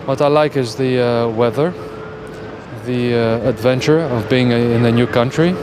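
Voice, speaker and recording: A man answering street interview questions outdoors, pausing hesitantly between words. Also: mid-range voice. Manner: hesitant, with fillers. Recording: outdoors with traffic noise.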